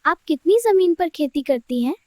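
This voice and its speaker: A woman's voice: very high voice, expressive, moderate pace, mellow, dry recording, studio quality. A woman playing a polite, curious village schoolchild in an educational cartoon, asking questions carefully. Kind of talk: scripted children's educational cartoon dialogue.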